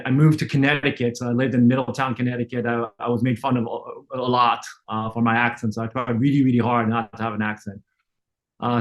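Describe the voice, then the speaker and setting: A middle-aged man from France, with no trace of a French accent, giving a relaxed online lecture, full of fillers and restarts. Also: medium pitch. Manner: frequent fillers and self-restarts, conversational. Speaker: optometrist lecturer. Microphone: online webinar.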